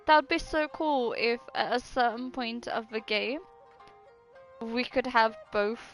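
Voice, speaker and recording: A woman's voice: fairly high pitch, fairly even intonation, measured pace, a bit bright, soft background music. A woman game streamer chatting casually over gameplay in a giggly, rambling, hesitant way.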